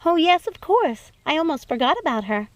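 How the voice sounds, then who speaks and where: A woman's voice: sits high, very animated, normal speed, no echo, pitched above the performer's own voice. A woman voicing a sweet, emotional young princess in a storybook, earnest and affectionate, with a gushing delivery. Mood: happy, eager.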